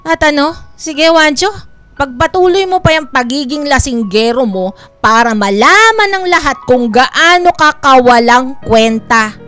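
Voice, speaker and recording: A woman's voice: sits high, animated, unhurried, fairly bright tone, faint music behind. An actress playing a bitter, middle-aged wife and mother in a radio drama, nagging and sarcastic. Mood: scornful.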